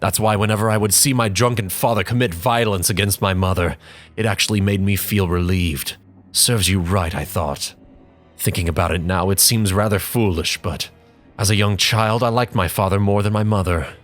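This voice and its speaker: A bitter young man broods in a low, measured voice, read by a man as a dramatic audiobook. He sounds contemptuous, and he stops for heavy pauses between phrases. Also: fairly bright tone, soft background music, close to an everyday voice. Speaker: narrator in character.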